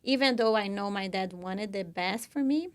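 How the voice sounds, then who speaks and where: A woman's voice: mid-range voice, normal speed. A young woman from Brazil with a Brazilian Portuguese accent, co-hosting a podcast and telling her own story in an earnest, casual way full of "you know" and "like". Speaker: podcast co-host.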